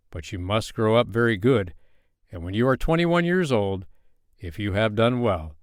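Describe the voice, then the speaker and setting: A man voicing a kind young king who speaks slowly and reassuringly. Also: lowish voice, no room sound, studio quality, natural voice. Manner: slow, kindly and reassuring.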